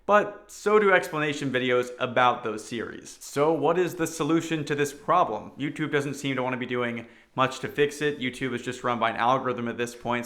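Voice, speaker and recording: A man with a bright, forceful voice at a middle pitch. He talks like an online commentary host, casual but earnest, leaning on his points with clear emphasis. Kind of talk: casual opinion commentary to viewers.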